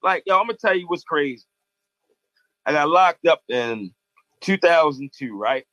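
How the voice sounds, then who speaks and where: Man, casual storyteller, slightly high voice, dull lo-fi dry recording. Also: animated, unhurried, a bit bright, dry recording. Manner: storytelling, loose and conversational. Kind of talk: casual podcast chat. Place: studio in Baltimore.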